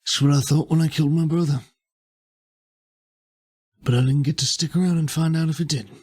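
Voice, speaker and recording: A man playing a bitter, guilt-ridden exile in a tabletop roleplay, with a husky voice and a terse, brooding, pointed delivery. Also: a little high, fairly even intonation, fairly quick, darkish tone, lightly acted. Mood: guarded, regretful. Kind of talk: improvised tabletop roleplay dialogue.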